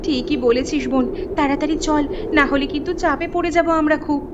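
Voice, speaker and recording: A woman plays a pair of caring young sisters in a village cartoon. Her voice is very high, and she talks urgently and earnestly. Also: fairly even intonation, fairly quick, lo-fi sound, noisy background, close to an everyday voice. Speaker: voice actor. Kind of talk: cartoon voice acting.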